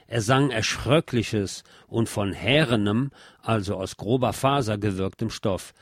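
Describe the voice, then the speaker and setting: A man narrating a scripted cultural history feature in a measured, formal and literate manner. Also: lowish voice, breathy edge, no room sound, studio quality.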